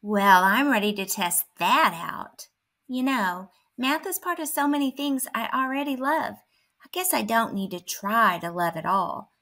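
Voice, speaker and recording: A woman's voice: on the high side, lively intonation, a little slow, sharp tone, heavily acted. A woman playing a grumpy, dramatic math skeptic who complains and exclaims in a children's storybook. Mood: conceding.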